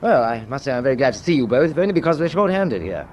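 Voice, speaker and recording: An actor playing a courteous British naval first lieutenant with a British accent and brisk manner in a radio drama. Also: male voice, medium pitch, big pitch swings, a little mellow, muffled recording, lightly acted. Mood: brisk, friendly. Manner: brisk, polite, officer-like. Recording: faintly noisy radio drama recording.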